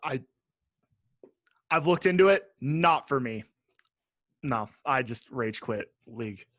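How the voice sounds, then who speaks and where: A man chats casually as a podcast co-host. His voice sits at a middle pitch and he speaks bluntly, with frequent swearing. The recording is dry and free of echo. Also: animated, takes it slowly, a bit bright. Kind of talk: casual chat.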